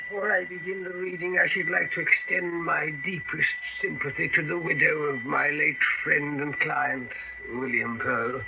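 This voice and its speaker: Solemnly formal solicitor for radio drama; measured, courteous, gravelly edge, clearly noisy vintage recording. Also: male voice, a little high, steady tone, dull audio. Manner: formal, measured, hesitant in places.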